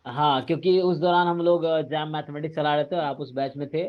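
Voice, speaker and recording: A man from Bihar interviewing a former student on a video call, talking in a lively, explaining way and mixing in English words. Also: a little high, fairly quick, lo-fi sound. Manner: animated, explains in a mix of Hindi and English. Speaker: interviewer and coaching teacher. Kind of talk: casual conversational interview.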